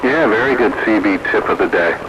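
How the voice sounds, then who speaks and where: A man's voice: on the low side, fairly quick, highs cut off. A man making casual banter as an operator over CB radio. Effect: CB radio transmission. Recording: very noisy CB radio reception.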